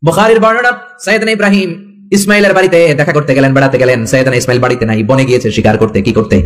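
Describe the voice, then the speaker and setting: Bangladeshi guy preaching, tells stories really fast, emphatic, keeps asking the crowd questions. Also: medium pitch. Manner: emphatic, repeats phrases and puts rhetorical questions to listeners. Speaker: Islamic preacher. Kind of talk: animated storytelling sermon to a live gathering.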